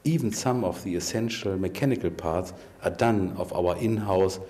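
A middle-aged man, a company executive, giving a prepared interview statement with deliberate, slightly halting phrasing. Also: low-pitched. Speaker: company president and chief executive. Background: faint music.

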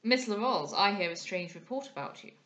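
A woman voicing a witty society gentleman in an arch, mock-grave, formal manner for a period audiobook. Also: medium pitch, expressive, a little fast, echoey room, lo-fi sound, natural voice. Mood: arch, dry. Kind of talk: dramatised audiobook reading of a period novel.

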